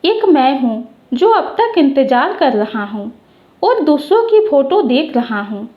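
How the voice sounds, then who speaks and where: A woman's voice: sits high, very animated, measured pace, reverberant, highs cut off. A woman voicing a fretful, protective young man who grumbles at and teases his younger sister, in a storytelling piece. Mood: aggrieved. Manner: complaining, teasing. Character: fretting, grumbling, protective teasing older brother, young man.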